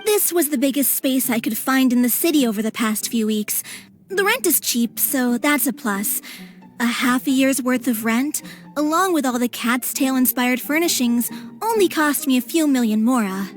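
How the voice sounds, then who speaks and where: Earnest, high-voiced young animal lover. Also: female voice, animated, moderate pace. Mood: eager, earnest. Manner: earnest, eager, slightly flustered. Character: earnest, anxious young female cat-shelter founder. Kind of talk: English video game voice acting. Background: faint music.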